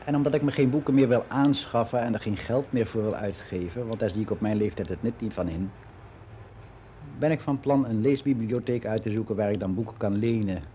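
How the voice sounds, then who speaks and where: Male actor as older man giving frank, matter-of-fact radio play monologue; soft dark tone, slightly noisy recording. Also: on the low side, fairly quick, natural voice. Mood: reflective. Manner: matter-of-fact, rambling, candid.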